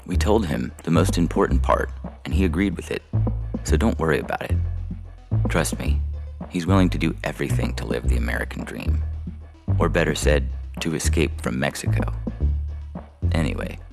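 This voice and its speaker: A man playing a slick, callous adoption agency salesman with gravelly smooth patter in an audio story. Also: extremely low pitch, moderate pace, pauses often, darkish tone. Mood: breezy, reassuring. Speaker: voice actor reading a fiction podcast story. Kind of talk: dramatized short story reading. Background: music under the voice.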